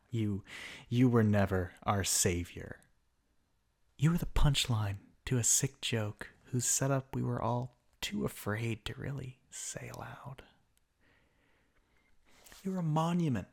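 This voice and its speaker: Man playing a slow, gravelly, bitter villain. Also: mid-range voice. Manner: slow, theatrical, sardonic, often dropping to a whisper. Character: bitter, mocking male villain revolutionary monologuing at a fallen hero. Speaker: voice actor in an audio roleplay. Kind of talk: scripted ASMR villain roleplay monologue.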